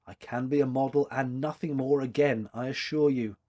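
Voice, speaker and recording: A man voicing a composed, polite gentleman suitor with a formal, resolutely cheerful manner in a story reading. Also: mid-range voice, fairly even intonation, moderate pace, close to an everyday voice. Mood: resolved cheerfulness. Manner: formal, courteous.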